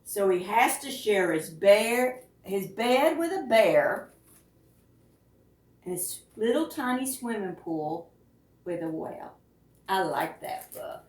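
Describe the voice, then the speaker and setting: Female children's storytime reader: slow, animated, question-led delivery for preschoolers, recorded from a distance in a roomy space. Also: mid-range voice. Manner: expressive, addresses young listeners with questions. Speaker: children's librarian reading at storytime. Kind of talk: picture-book read-aloud for preschoolers. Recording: roomy echo, distant microphone.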